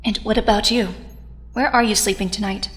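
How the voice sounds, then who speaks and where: A woman's voice: mid-range voice, fairly even intonation, fairly quick, natural voice. An actress playing a grieving, headstrong teenage girl superhero in an audio drama, earnest and insistent. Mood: firm, caring. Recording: reverberant hall sound.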